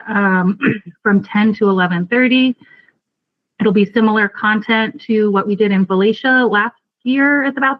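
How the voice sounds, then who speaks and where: A woman's voice: medium pitch, no echo. Woman on a tinny phone-like line. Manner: hesitant, many fillers. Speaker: clean energy program staffer. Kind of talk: meeting update.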